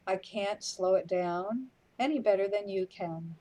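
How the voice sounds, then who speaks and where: A woman playing a wry, weary companion in a radio play, speaking in a deliberate way. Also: mid-range voice, dark tone, lightly acted, poor audio. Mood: wry, unruffled. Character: unhurried, matter-of-fact companion, wry and weary.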